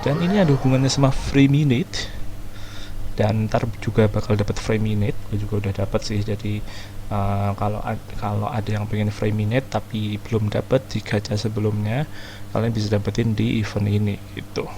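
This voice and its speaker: A man's voice: lowish voice, steady tone, a little fast, background noise. A man with an Indonesian accent giving casual, slangy game commentary and mixing in English words. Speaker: gaming video host.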